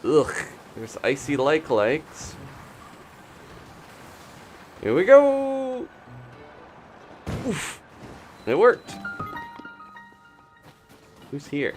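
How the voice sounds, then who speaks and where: A man's voice: on the high side, huge pitch swings, extremely slow, pauses often, soft background music. A man giving casual live gameplay commentary, playfully exclaiming and talking to himself as he plays. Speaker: video game streamer and host.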